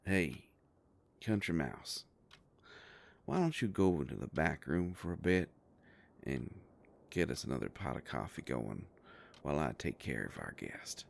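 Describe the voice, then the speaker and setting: A man playing a gruff, protective werewolf private investigator in a dramatic audio roleplay, with a gravelly voice and a slow, menacing, sardonic, folksy tough-guy delivery. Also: very deep voice, big pitch swings, slight background noise, exaggerated voice. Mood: reassuring.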